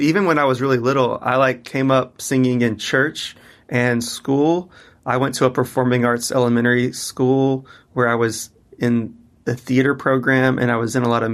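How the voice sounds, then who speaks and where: A man's voice: medium pitch, little pitch movement, moderate pace. A man in his thirties or forties, a musician, chatting loosely in an interview with lots of restarts. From Mississippi, US. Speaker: musician guest. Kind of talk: casual interview conversation.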